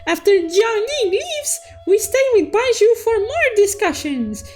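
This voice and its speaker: A woman telling a game story recap as a childlike, excitable cartoon unicorn, playful and full of exclamations, with music behind her. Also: extremely high pitch, unhurried. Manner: playful, exclamatory, cutesy third-person persona. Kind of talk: animated storytelling recap with sound-effect exclamations. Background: background music.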